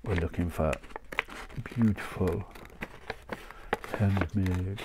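Deep-voiced man with a chesty cough. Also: fairly even intonation, takes it slowly, heavy background noise. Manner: chatty, rambling, laughs often. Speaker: craft channel host. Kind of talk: casual chat while cutting paper. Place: craft desk with cutting mat, indoors. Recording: paper crinkling close by.

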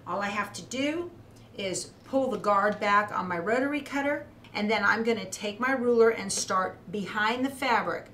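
A middle-aged woman talks through a hands-on craft demonstration in a methodical, instructional way. Her voice sits at an average pitch for a woman. The indoor studio sounds roomy, with a faint to moderate layer of background noise. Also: moderate pace, a bit bright. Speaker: quilting instructor. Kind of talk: hands-on demonstration, explaining step by step.

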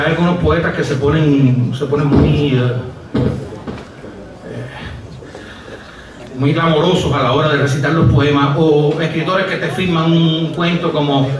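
A middle-aged man with a Cuban accent delivers a deadpan, rambling comic monologue in a slightly raised voice. The sound comes from a distance and rings around the venue, with a crowd audible behind him. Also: fairly high pitch, slow pace. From Cuba. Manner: wry, deadpan, digressive, with many hesitations. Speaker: comedian and writer. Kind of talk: stand-up monologue. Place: outdoor stage at night. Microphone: stage mic on a stand. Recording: echoey live outdoor sound from far off. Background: audience laughing.

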